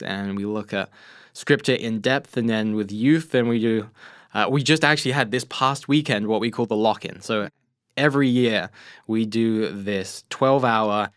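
A young man with an English accent talks in a relaxed, earnest way, laying out his points step by step. His voice is smooth and resonant. The studio sound is dry and close. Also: on the low side, moderate pace, dry recording. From England. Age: young adult. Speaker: guest, student ministries assistant. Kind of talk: casual conversation. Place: radio studio.

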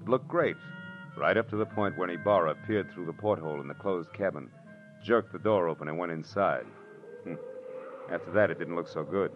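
An American actor playing a cocky, wisecracking private detective in 1940s radio noir, with wry, slangy, clipped delivery. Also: male voice, sits low, fairly quick, music in the background, lightly acted. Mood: brooding narration. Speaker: lead actor and narrator. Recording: dull, noisy old radio recording.

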